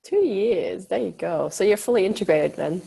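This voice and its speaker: A woman's voice: mid-range voice, lively intonation, normal speed, gravelly, mellow, husky, poor audio. A woman from the Netherlands hosting a casual interview in an informal, joking way. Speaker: interviewer, host.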